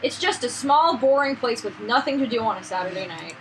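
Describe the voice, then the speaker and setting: A woman's voice: fairly high pitch, expressive, fairly quick, a little hiss or hum, lightly acted, rough recording. A woman voicing an earnest, eager young man, a painter, in a visual novel. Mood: sheepish.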